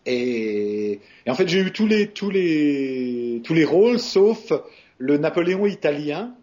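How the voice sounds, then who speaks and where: A man's voice: a little high, sing-song, slow pace, dark tone. A man from France, a voice actor, answering interview questions in a hesitant, casual way with many fillers and restarts. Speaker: voice actor guest. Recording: muffled lo-fi recording.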